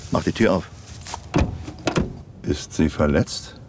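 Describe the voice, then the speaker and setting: An actor playing a gruff middle-aged police commissioner in a 1970s radio drama, brusque and commanding. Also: male voice, very deep voice, takes it slowly, noisy background, exaggerated voice.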